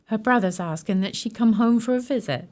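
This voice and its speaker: A woman's voice: fairly high pitch, a little fast, a little mellow, lightly acted. A woman playing a timid, urgent housekeeper bearing bad news in a radio drama. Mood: anxious.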